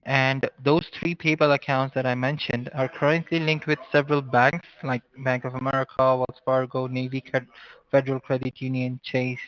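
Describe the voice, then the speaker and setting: A man speaks as a call-center agent at a medium pitch. He is formal and explanatory, pressing his instructions on the listener. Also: level tone, normal speed, fairly bright tone, dry recording, rough recording. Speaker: phone scammer posing as support agent. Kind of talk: call-center phone talk.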